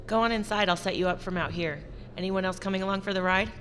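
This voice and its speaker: A woman's voice: medium pitch, steady tone, fast, a bit bright, lightly acted. A woman playing an easygoing, friendly spaceship dock mechanic, talking casually in a sci-fi audio drama. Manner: casual, familiar.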